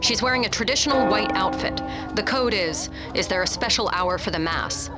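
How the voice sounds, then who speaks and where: An actress playing a precise, businesslike intelligence contact in a video game cutscene. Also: female voice, mid-range voice, animated, normal speed, over loud music, lightly acted. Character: businesslike female intelligence contact relaying orders.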